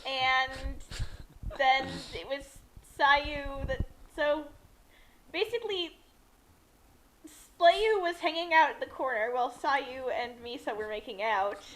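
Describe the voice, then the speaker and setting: A woman with a high voice comments casually and humorously on a story being read. There is some faint noise in her recording. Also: a bit bright, low-quality recording.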